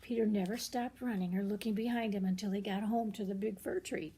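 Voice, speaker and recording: An older woman reading a children's picture book aloud, expressive and often hushed, pausing for asides about the pictures. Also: medium pitch, breathy edge.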